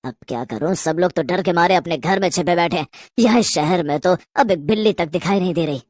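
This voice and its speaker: A woman's voice: on the low side, brisk, a little mellow, lightly acted. A woman voicing a greedy, guilty man turned reluctant, grumbling ghost in a horror cartoon story. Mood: scheming, puzzled. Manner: nervous, protesting, then grudgingly obedient.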